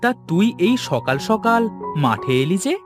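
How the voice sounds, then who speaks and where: A man's voice: high voice, sing-song, measured pace. An actor playing a cheerful, proud village farmer father in a theatrical cartoon style. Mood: pleased, fatherly. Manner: theatrical, exclamatory. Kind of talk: Bengali cartoon dubbing. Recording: music behind the voice.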